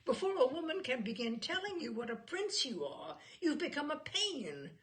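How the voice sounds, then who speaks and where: A woman's voice: high-pitched, sing-song, normal speed, fairly bright tone, pitched above the performer's own voice. A woman storyteller playing a self-assured, pompous woman who lectures her partner analytically in a humorous story. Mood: critical, earnest. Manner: pompous, psychobabbling.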